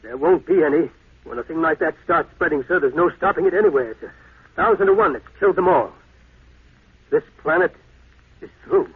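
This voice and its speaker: An actor playing a dutiful, plain-spoken officer reporting deferentially to his captain in a 1950s radio drama, husky voiced. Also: a little high, expressive, brisk, long pauses. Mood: grave, shaken. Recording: thin, tinny 1950s radio recording.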